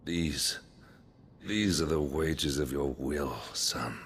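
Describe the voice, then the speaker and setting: Very deep, stern father. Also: slow, a bit bright, slight background noise, full character voice. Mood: reproachful. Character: stern, reproachful father in dark fantasy. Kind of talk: dramatic video game voice acting.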